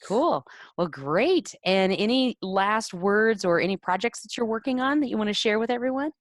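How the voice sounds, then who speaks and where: A woman's voice: mid-range voice, expressive, moderate pace, no room sound, clean studio sound. A woman author and lettering teacher interviewing her contributors, encouraging and full of affirming replies. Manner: encouraging, warm praise with many affirming "mm-hmm"s. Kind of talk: casual chat.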